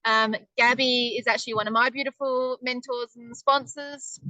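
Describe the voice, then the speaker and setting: A woman's voice: a little high, fairly even intonation, normal speed, pushed and bright, dry recording, rough recording. A woman presenting a casual webinar in a chatty, upbeat way, with lots of ums. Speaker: online business presenter.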